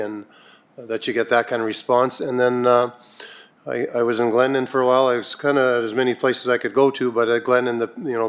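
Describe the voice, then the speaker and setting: A man from Canada chairs a rural council meeting, speaking into a desk microphone in the chamber. He rambles in a folksy, unpolished way, trailing off and restarting his thoughts. His voice sits at an ordinary middle pitch for a man. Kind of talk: casual spoken council report.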